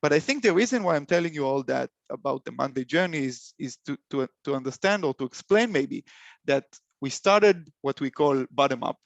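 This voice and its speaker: Man, Israeli accent, gravelly, dry close sound, halting with many fillers. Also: mid-range voice, animated, no echo. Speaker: webinar presenter. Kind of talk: live webinar presentation. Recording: dull lo-fi call audio.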